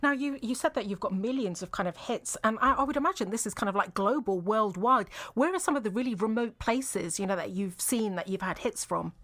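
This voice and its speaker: A woman's voice: medium pitch, animated, brisk, few pauses, a bit bright. A woman presenting and interviewing a guest on live radio.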